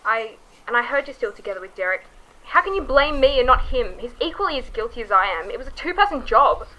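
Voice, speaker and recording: A woman's voice: on the high side, expressive, fast, pushed and bright, low-quality recording. A woman playing a lonely, guilt-ridden young woman who pleads emotionally, in an amateur radio drama. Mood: pleading, urgent.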